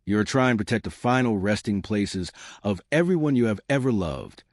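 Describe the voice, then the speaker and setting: Man voicing a calm, gentle human diplomat. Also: fairly low pitch, normal speed, no echo, clean studio sound. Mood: gentle, solemn. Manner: soft-spoken, gentle, certain. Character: quiet, calm, compassionate human male diplomat.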